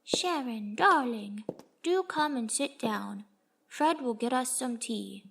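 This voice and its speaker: A woman's voice: fairly high pitch, expressive, takes it slowly, gravelly, a bit bright, slight background noise, voice pushed up, heavily acted. A woman voicing an imperious, casually commanding female character in a story read aloud. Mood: haughty, breezy. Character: imperious, lounging wife and breezy entitled daughter. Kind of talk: acted dialogue in a read story.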